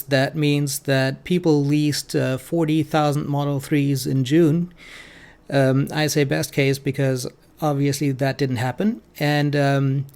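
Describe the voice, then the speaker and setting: A man giving informal, analytical financial commentary as a host, speaking in a halting way with frequent ums. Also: medium pitch, darkish tone, clear, resonant tone. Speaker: financial commentator hosting a stock analysis show.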